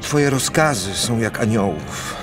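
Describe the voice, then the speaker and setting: A man's voice: lowish voice, animated, unhurried. An actor playing a theatrical count in his fifties, declaiming grandly in a radio drama. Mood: solemn. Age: 50s. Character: theatrical fifty-year-old Polish count, grandiloquent lover. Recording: music behind the voice.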